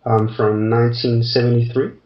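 A man in his forties speaks in a slow, halting way, often stopping to find the right word. His voice comes through a distant, roomy remote connection. The audio is dull and muffled. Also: medium pitch, flat delivery. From Denmark. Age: 40s. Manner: slow, halting, searching for words. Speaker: dancer guest. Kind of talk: casual interview answers.

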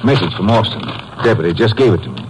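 An actor playing a plain-spoken small-town sheriff in a radio drama. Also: male voice, fairly low pitch, expressive, quick pace, slight background noise, natural voice, low-quality recording. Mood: businesslike, folksy. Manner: folksy, informal. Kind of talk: 1950s radio drama acting. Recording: old 1950s radio recording.

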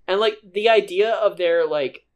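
A podcast co-host speaking slowly in a middle-pitched voice. The talk is casual and gushing, broken up by frequent laughs. The delivery is unhurried and drawn out. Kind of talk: casual chat.

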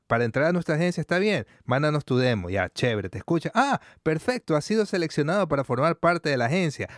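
A man's voice: a little high, dry recording, clean studio sound. A man from Ecuador hosting a podcast interview, chatty, with frequent affirming interjections. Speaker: podcast host.

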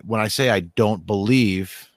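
A man in casual conversation, rambling with many restarts and a steady stream of "like". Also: lowish voice, measured pace, no room sound, studio quality. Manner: rambling, restarts often, says "like" a lot.

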